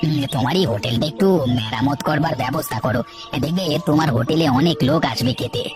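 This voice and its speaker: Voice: mid-range voice, quick pace, loud music behind the voice, natural voice. A voice actor playing a friendly, food-loving village man in a cartoon. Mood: cheerful. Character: friendly male villager who loves food. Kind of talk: cartoon voice acting.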